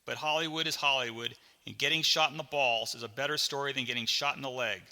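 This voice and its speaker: A man's voice: mid-range voice, steady tone, quick pace, sharp tone, in a large hall. A man voicing a tall, plain-spoken, easygoing father in an audiobook memoir. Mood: wry. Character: tall, matter-of-fact father, easygoing studio executive.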